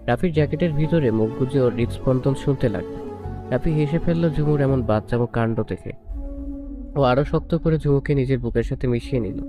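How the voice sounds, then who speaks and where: Male narrator, scripted romance storytelling, mid pitch, prominent music behind. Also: brisk. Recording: music bed under the voice. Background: prominent instrumental music.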